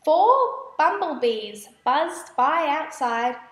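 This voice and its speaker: A woman reading children's verse aloud as a storyteller, in a measured, expressive way. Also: high-pitched, echoey room. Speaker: storybook reader. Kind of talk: scripted children's verse narration.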